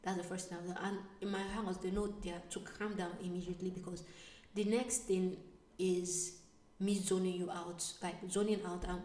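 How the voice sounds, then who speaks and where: A woman vlogger telling a personal story in a casual, animated way, sometimes dropping to a whisper. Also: fairly low pitch, normal speed, recorded from a distance. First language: not Italian. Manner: animated, drops to a whisper for asides. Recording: echoey room, voice away from mic.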